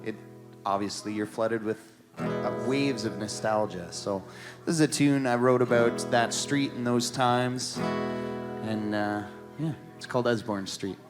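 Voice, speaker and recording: A man's voice: medium pitch, measured pace, over loud music. A man from the Ottawa Valley in Canada hosting a songwriters' circle, chatting casually and reflectively between songs in a small live venue. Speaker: host of a songwriters' circle, musician.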